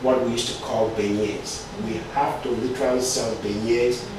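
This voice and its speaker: A middle-aged man from Cameroon, speaking as an IT consultant and entrepreneur. He pauses often, restarts his sentences and checks in with "right?". His voice is distant and bounces around a very echoey room with faint background noise. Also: mid-range voice, steady tone. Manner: hesitant, many fillers and restarts, frequent "right?". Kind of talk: interview answers.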